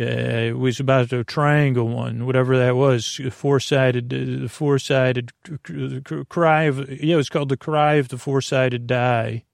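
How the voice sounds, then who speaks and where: Male sleep-podcast host, gravelly, rambling and self-interrupting, dry close sound. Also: no echo. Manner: meandering, self-interrupting, tangents; low-key, unhurried delivery. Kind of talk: rambling bedtime monologue.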